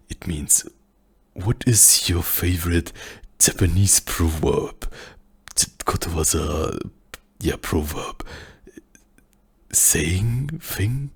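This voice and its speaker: A soft-spoken man with a German accent answers questions in a hushed, half-whispered voice. His voice is very low and gravelly, and his breathy tone is far from clear. He talks slowly, with many pauses and searches for words. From German-speaking country. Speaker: ASMR channel host.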